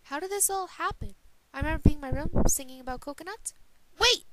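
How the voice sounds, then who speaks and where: A woman voicing an excitable, naive teenage boy hero in a fan parody dub of a video game. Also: sits high, quick pace, very noisy, lightly acted. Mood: bewildered.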